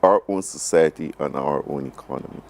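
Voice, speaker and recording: A very deep male voice with a Barbadian accent, speaking as a guest in a broadcast interview. He feels his way through sentences and repeats key phrases for emphasis. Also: brisk, creaky, darkish tone, a little hiss or hum. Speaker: government program advisor, interview guest.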